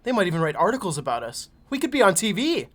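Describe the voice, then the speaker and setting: A man's voice: high voice, big pitch swings, fast. A young man voicing a laid-back, boastful, upbeat spaceship crewman in a video game fan dub, with a breezy, slangy manner.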